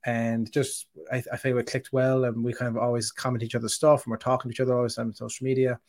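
A man's voice: mid-range voice, little pitch movement, quick pace, darkish tone. A man hosting a casual interview over a video call, talking in a rambling way with many fillers and restarts. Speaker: host.

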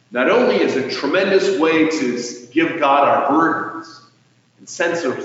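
A man preaching a sermon as a pastor, speaking in a measured, earnest way with emphatic swells and soft endings to phrases. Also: mid-range voice. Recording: distant mic in echoey hall, dull, faintly noisy.

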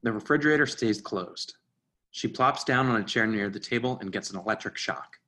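A man's voice: lowish voice, a little fast, pushed and bright. A man reading stage directions as scripted narration for a play reading.

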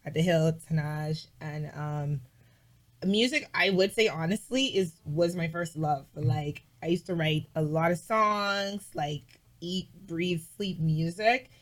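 A woman vlogger chatting casually as an interview guest, emphatic and animated, with lots of "like". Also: low voice, a little slow. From Bahamas.

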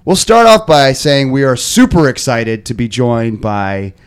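A man hosting a casual podcast, a bit hoarse, rambling with lots of fillers and restarts. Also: mid-range voice, measured pace. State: slightly hoarse. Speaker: podcast host. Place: podcast studio.

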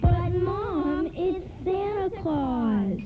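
A woman as an excited, high-pitched small child. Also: drawn-out words, full character voice. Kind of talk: radio play acting. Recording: narrow broadcast sound under loud music.

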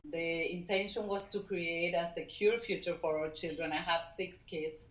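A middle-aged woman from Venezuela, a guest co-founder of a foundation, speaking natively and talking in an earnest, halting way with many fillers. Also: fairly low pitch, steady tone, a bit bright, echoey room, low-quality recording. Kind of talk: casual interview talk.

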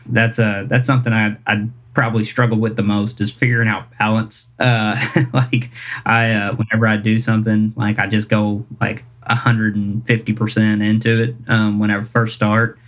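A man's voice: lowish voice, level tone, moderate pace, fairly bright tone. A man, a singer-songwriter chatting casually in an interview, rambling and self-deprecating with lots of fillers. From Kentucky, US.

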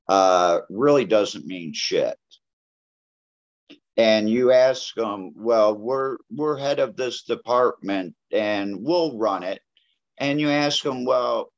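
A low-voiced man speaks very slowly and bluntly, filling his talk with "uh"s as he rambles. The sound is dry and a little dull and lo-fi. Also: dry recording. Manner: blunt, rambling with many fillers. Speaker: cannabis grower guest. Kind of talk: casual interview talk. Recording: dull lo-fi audio.

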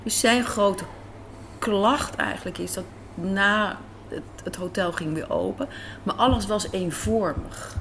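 Female interviewee, medium pitch, thoughtful and reflective, searching for phrasing, light café ambience. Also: slow pace, a bit bright. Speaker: radio drama writer and director, interviewee. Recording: faint café background noise.

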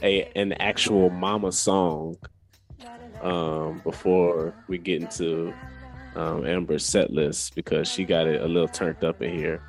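A man's voice: low-pitched, flat delivery, measured pace, creaky. A man hosting a music radio show in a casual, chatty style, introducing songs.

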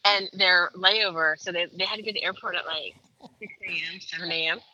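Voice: medium pitch, fairly even intonation, fairly quick, bright, forceful tone, muffled recording. A caller giving a family update in casual, chatty, matter-of-fact storytelling. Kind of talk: casual phone chat.

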